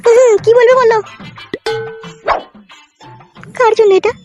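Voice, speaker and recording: A woman's voice: very high-pitched, level tone, fast talker, a little mellow. A woman voicing an emphatic, plain-spoken wronged young wife in a comic cartoon, on a dull recording. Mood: puzzled. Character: shrill wronged young married village woman, wary then yielding. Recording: dull lo-fi audio with music.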